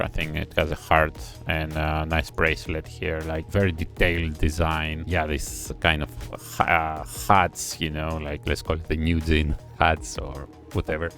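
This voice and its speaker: Male reviewer, very low gravelly voice, analytical chat, soft music behind. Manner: analytical, admiring, thinks aloud with pauses. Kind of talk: casual reaction commentary. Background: faint music video playing.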